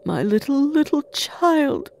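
A woman's voice: lowish voice, lively intonation, moderate pace, slight background noise, close to an everyday voice. Woman playing a tender, sorrowful father. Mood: grieving. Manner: gentle, emotional. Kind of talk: storybook character reading.

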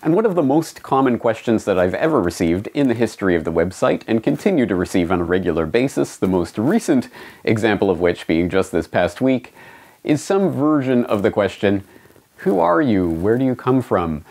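A man in his forties from Canada, hosting his own online show with a scripted, emphatic monologue and lively hand gestures. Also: on the low side, normal speed. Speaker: independent online journalist and host. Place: small home studio indoors, also outdoors on the street. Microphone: studio microphone on a stand beside the camera.

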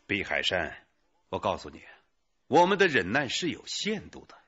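An actor playing a blunt, hot-tempered police detective in a radio drama. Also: male voice, fairly low pitch, wildly swooping pitch, slow, a bit bright, breathy edge, full character voice. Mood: menacing. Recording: dull, muffled lo-fi audio.

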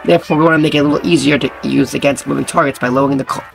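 A young man with a hoarse, partly lost voice, casually reading game patch notes aloud as a gaming channel host. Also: mid-range voice, steady tone, racing, soft background music, poor audio. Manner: casual, reads aloud with stumbles.

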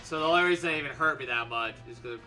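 A man's voice: medium pitch, rapid-fire. A man co-hosting a game playthrough in casual, playful banter, often repeating short phrases. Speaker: let's play co-host and player. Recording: game music behind the voice. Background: Pokemon battle game music.

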